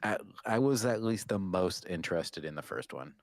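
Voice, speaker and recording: A man's voice: low voice, lively intonation, moderate pace, dry recording, clean studio sound. A man co-hosting a podcast, chatting in an informal, conversational way. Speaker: podcast co-host. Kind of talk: casual chat.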